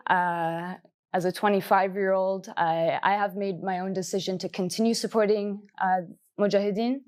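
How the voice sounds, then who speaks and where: A woman in her twenties on a panel, answering earnestly in a halting way with many fillers. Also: lowish voice, a little mellow. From Canada. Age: 20s. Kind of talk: personal answers in a panel discussion.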